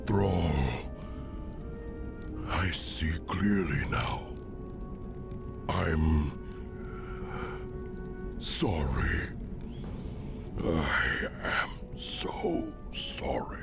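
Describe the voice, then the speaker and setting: An actor playing a proud, fierce orc chieftain fallen to corruption, brooding and fatalistic, in a video game cutscene. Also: male voice, a bass voice, animated, music in the background, heavily acted. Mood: remorseful. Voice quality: gravelly. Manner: slow, brooding, fatalistic delivery.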